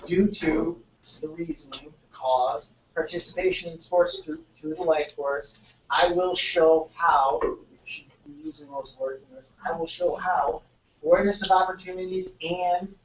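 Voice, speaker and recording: A man's voice: fairly high pitch, steady tone, moderate pace. A man teaching a sociology class, explaining step by step and repeating key words. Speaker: sociology instructor. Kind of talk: classroom lecture. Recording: echoey room, mic far off, faint noise.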